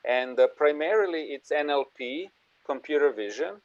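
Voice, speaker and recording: A man's voice: fairly high pitch, animated, normal speed, no room sound. A man giving a conference presentation and answering questions in a conversational way, with restarts as he goes. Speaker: invited speaker presenting an AI institute. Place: online video conference. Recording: dull, lo-fi online call audio.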